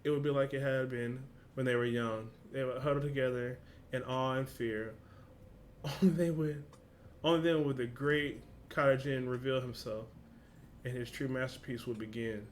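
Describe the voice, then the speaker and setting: A man's voice: medium pitch, steady tone, normal speed, slight background noise. A man with a casual, slangy, informal way of talking, hosting a reaction video and reading along.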